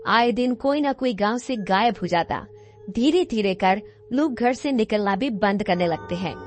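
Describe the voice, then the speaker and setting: A woman's voice: medium pitch, lively intonation, unhurried, soft background music. A woman reading scripted story narration as the narrator of a tale.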